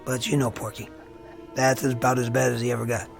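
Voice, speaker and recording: An actor playing a troubled but kindly army lieutenant in a war radio drama, casual and subdued. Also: male voice, mid-range voice, little pitch movement, brisk, vocal fry, music in the background, lightly acted. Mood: casual, reassuring. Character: troubled young army lieutenant, kindly to his wounded soldier. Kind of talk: audio drama acting.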